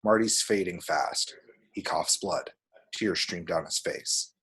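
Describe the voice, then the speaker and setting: A man's voice: low-pitched, takes it slowly, fairly bright tone. A man reading screenplay action descriptions aloud as dramatic, vivid narration at a table read.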